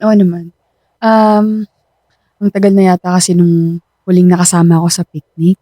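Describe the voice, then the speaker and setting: A woman's voice: lowish voice, little pitch movement, moderate pace, dark tone, dry recording, close to an everyday voice. An actress playing a shy, self-doubting young woman, hesitant, in a Filipino radio drama. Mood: bashful. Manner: hesitant, insecure.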